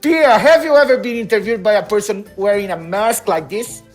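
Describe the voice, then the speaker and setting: A man's voice: high voice, normal speed, soft background music. A young man with a Brazilian accent hosting a playful web show interview, joking and laughing often as he asks questions. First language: Portuguese. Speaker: host. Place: decorated room indoors.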